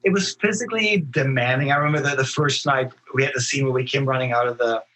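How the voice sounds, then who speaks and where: A man speaking as a guest actor in a casual podcast interview, telling stories in a halting, thoughtful way. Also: mid-range voice, bright, forceful tone, poor audio.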